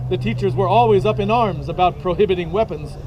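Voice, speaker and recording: Male reader, deadpan storybook narration of a comic tale, light high voice, recorded outdoors. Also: fast talker, noisy background. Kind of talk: comic dramatic reading aloud. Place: outdoors at an event.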